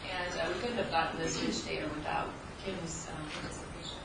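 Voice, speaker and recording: A woman's voice: lowish voice, steady tone, a little fast, vocal fry. A woman researcher co-presenting at an academic conference, reflective and explanatory, with some hesitations. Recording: echoey hall, distant mic, noisy.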